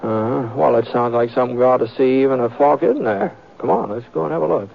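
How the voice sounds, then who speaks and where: An actor playing an easygoing, seasoned western lawman and tracker in an old radio drama, with a hesitant, folksy, unhurried way of talking. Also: mid-range voice, gravelly, over loud music, lightly acted. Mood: steady. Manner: hesitant, folksy drawling delivery with murmured asides. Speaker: lead actor and narrator of the story. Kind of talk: 1940s-50s radio western acting and narration. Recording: noisy, muffled old radio recording with clicks.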